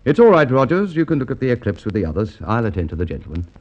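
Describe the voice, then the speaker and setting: A man's voice: medium pitch, big pitch swings, racing, lo-fi sound, loud music behind the voice, close to an everyday voice. An actor playing a fussy, indignant male shop proprietor, in vintage radio drama style. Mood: courteous, obliging. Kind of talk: Golden Age radio drama acting.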